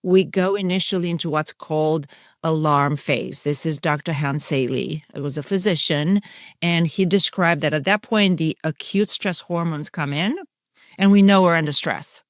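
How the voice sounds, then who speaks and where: Woman, middle-aged, low voice, earnest, explanatory, dry recording. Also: moderate pace, no echo. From Romania. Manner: earnest, explanatory, talks in long flowing runs. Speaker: guest psychiatrist and coach. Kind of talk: conversational podcast interview.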